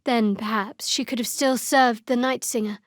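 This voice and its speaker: An actress playing a guarded, sardonic young female cleric of a dark goddess in a video game, speaking in a dry, measured, wry way. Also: on the high side, steady tone, no room sound, natural voice. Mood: stern, measured. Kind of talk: video game character dialogue.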